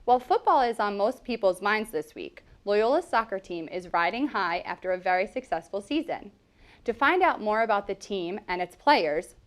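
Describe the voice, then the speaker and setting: Woman reading student news script. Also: mid-range voice. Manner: even, read-from-script delivery.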